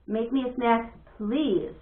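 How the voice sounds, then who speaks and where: A woman's voice: a little high, takes it slowly. A woman voicing a teasing, bossy older sister in a storybook, with a firm, taunting delivery. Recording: thin phone-like audio, echoey room.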